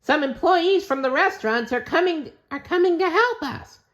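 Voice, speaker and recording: A man voicing a cheerful, eager young sea sponge in a squeaky, high-pitched voice, read from a storybook. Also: expressive, moderate pace, fairly bright tone, highs cut off. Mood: excited.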